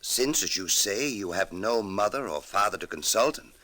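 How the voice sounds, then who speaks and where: Middle-aged father figure, gentle and guarded, low pitch with a firm edge, vintage radio style. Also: expressive, normal speed. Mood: hesitant, relenting. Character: gentle, guarded ex-convict father hiding his identity. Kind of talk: 1940s-50s radio drama acting. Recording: old radio recording with music underneath.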